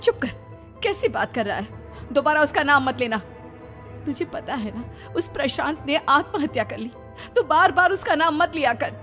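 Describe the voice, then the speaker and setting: A woman's voice: sits high, lively intonation, normal speed, fairly bright tone, faint music behind, voice pushed up. A woman voicing an anxious, protective mother in a melodramatic horror cartoon, speaking with urgent emotion. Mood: scolding, uneasy.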